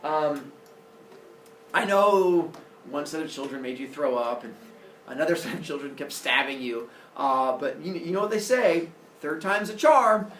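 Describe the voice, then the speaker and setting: A man's voice: a little high, animated, a little slow, fairly bright tone. A man voicing a doting Mother Earth goddess in a comic myth, gushing and coaxing. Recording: echoey room, distant mic, faint noise.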